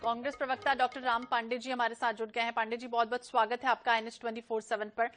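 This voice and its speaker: A woman's voice: on the high side, level tone, fast, fairly bright tone, faint music behind. A woman news anchor moderating a broadcast debate, introducing guests and putting questions to them.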